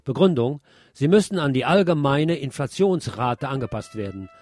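A man presenting scripted radio news commentary, reading deliberately and emphatically with wry asides. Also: mid-range voice, animated, a little mellow, no echo, studio quality.

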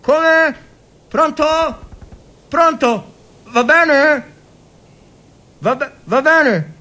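An actor playing a bitter, anguished Italian officer of the First World War in a dramatic monologue, halting and repeating words. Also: male voice, very high-pitched, bright, forceful tone, exaggerated voice. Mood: agitated, pleading. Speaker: actor reading a short story. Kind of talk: dramatic literary monologue, radio reading.

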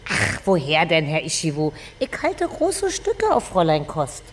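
A woman's voice: deep voice, wildly swooping pitch, normal speed. An actress playing a gossipy, earthy Berlin landlady in a radio drama, chatty and coy, then blunt. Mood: chatty, indignant.

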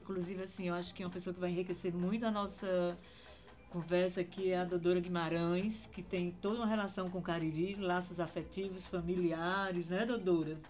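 A woman's voice: on the low side, steady tone, a little fast, a little mellow, soft background music. A woman from Crato in Ceará, Brazil, a podcast guest talking about her childhood and introducing another guest. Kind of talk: casual conversation.

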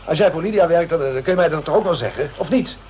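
Male actor as suspicious touchy man, Netherlands Dutch accent, fairly high voice, blustering and hesitant, noisy old radio play sound. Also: quick pace, natural voice. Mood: indignant, suspicious. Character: suspicious, touchy man receiving late-night visitors. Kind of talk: radio drama acting. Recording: muffled, noisy radio recording.

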